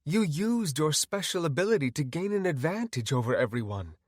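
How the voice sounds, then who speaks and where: A man's voice: fairly high pitch, big pitch swings, brisk, no echo, studio quality, voice pushed up. A man voicing a dispassionate, cryptic male doctor in an audiobook, admonishing in manner.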